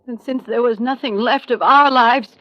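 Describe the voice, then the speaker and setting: An actress playing a bitter, jealous middle-aged wife in a 1950s radio melodrama, dramatic and defiant. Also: female voice, a little high, steady tone, normal speed, natural voice. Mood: bitter confession. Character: bitter, jealous aging wife confessing to murder. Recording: dull vintage radio recording.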